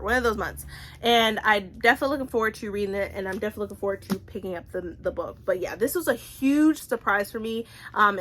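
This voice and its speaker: A woman's voice: mid-range voice, bright, forceful tone. A woman giving a casual, chatty vlog review as a book channel host, with informal, slangy phrasing and phrases repeated for emphasis.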